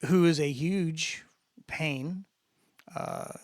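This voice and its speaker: A man's voice: fairly high pitch, wildly swooping pitch, extremely slow, creaky, darkish tone, no room sound, studio quality. A man talking and reading fiction aloud in a dramatic storytelling style. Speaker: narrator reading own book aloud. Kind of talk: casual introduction, then dramatic audiobook-style reading.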